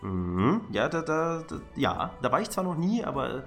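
A man's voice: fairly high pitch, big pitch swings, gravelly, faint music behind. Jokey man in casual podcast chat. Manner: jokey, halting with repeated word starts. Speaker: podcast co-host.

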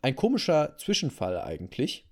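A man's voice: medium pitch, wildly swooping pitch, moderate pace. A man hosting a talk show, telling a story in a casual, conversational way and repeating phrases for emphasis. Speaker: podcast host telling a story.

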